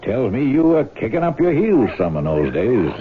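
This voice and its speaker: Actor as a sly, menacing farm brother, wildly swooping. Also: male voice, medium pitch, very animated, normal speed, close to an everyday voice. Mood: sly, insinuating. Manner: drawling, insinuating folksy talk. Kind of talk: 1970s radio drama acting. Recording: tinny, noisy old radio broadcast.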